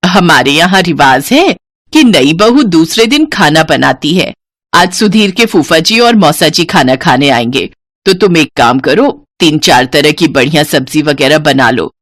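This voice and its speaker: Woman playing a low-pitched practical older mother. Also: expressive, measured pace, fairly bright tone, no room sound, heavily acted. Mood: firm, polite. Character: older mother and mother-in-law, practical, nagging but caring.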